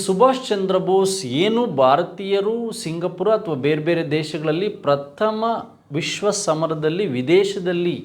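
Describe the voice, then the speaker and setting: A man gives an exam coaching history class in a fairly high voice. He explains step by step, poses rhetorical questions and repeats key names and dates for his students. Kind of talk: exam-prep history lecture.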